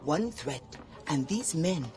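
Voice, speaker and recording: An actress playing a shrewd, guarded brothel madam who warns with quiet threat. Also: female voice, low voice, expressive, slow pace.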